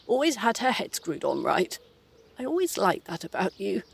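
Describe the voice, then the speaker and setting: A woman's voice: medium pitch, sing-song, normal speed, gravelly, slightly rough, heavily acted. A woman playing a sardonic, formidable ghost of a mother in her seventies in an audio play, dry and genteel. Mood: dry, teasing. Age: 70s.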